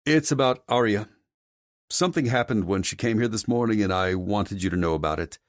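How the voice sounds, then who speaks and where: Man voicing an earnest security chief, raised pitch. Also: brisk, dry recording, clean studio sound, close to an everyday voice. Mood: concerned, warning. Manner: deferential but cautioning. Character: earnest, loyal male head of security. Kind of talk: audiobook character dialogue.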